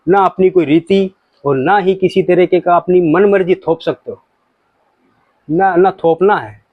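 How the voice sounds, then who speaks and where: A man's voice: high-pitched, measured pace, no room sound, phone-quality sound. A man giving an informal religious talk to viewers, explaining points in an explanatory way with examples. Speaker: religious commentator.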